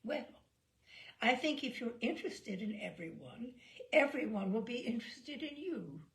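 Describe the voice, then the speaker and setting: Woman playing a pompous, genteel talkative spinster, animated. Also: on the high side. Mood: smugly modest. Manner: refined, slow, pompous. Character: prim, self-important genteel spinster passenger, talkative.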